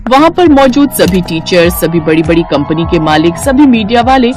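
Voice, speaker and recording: A woman's voice: lowish voice, big pitch swings, normal speed, a little mellow, soft background music. A woman storyteller reading scripted narration for a moral tale.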